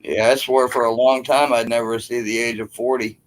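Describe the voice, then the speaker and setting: Man in his early forties, live stream host, relaxed, chuckles between remarks. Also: medium pitch, moderate pace, low-quality recording. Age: 40s. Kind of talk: casual chat on a live stream.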